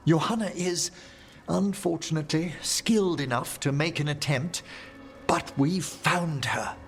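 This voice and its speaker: An actor playing a refined, anxious older necromancer in a video game, speaking formally and precisely. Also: male voice, fairly high pitch, unhurried, faint music behind. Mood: grave, resolute.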